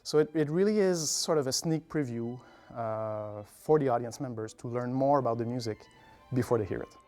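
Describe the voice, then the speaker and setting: A man's voice: mid-range voice, moderate pace, music in the background. A man, an orchestra conductor, explaining things instructively with examples and analogies in interview answers. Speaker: orchestra conductor, artistic director.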